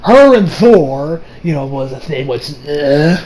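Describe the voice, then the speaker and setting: A young man giving a casual, unscripted movie review in an excitable, gushing manner with plenty of swearing. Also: on the high side, slow, rough recording.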